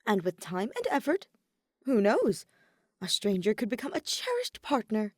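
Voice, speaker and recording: Woman playing a proud, indignant young nobleman, animated. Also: mid-range voice, moderate pace, no room sound, clean studio sound, pitched above the performer's own voice. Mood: earnest, lofty. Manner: indignant, formal, emphatic. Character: proud, easily offended, earnest young nobleman student. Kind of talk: audiobook character acting.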